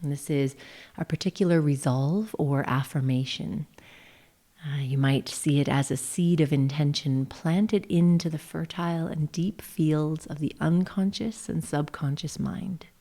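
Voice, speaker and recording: A woman guiding a meditation session in a gentle, soothing, measured manner, her phrases often trailing off into breathy whispers. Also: low voice, fairly even intonation. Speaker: yoga nidra guide, session host. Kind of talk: guided meditation narration.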